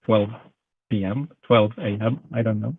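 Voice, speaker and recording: A man from Lithuania speaking English with a Lithuanian accent, a guest artist chatting casually, pausing often with filler sounds. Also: on the low side, breathy edge. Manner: hesitant, many filler sounds. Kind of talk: casual chat. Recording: tinny phone-like online call audio.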